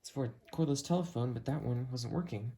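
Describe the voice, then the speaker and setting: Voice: medium pitch, brisk, creaky, mellow. A person giving a casual, chatty hands-on product review, talking while handling the item. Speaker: host of a gadget review video. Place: indoors, room at home. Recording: noisy home recording, clicks and handling.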